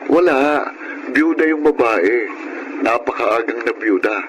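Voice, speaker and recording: Young Filipino younger brother, chatty, average pitch, radio serial, slight noise. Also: male voice, takes it slowly, a bit bright. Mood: chatty, pleased. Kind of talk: Filipino radio drama acting. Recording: faintly noisy radio drama recording.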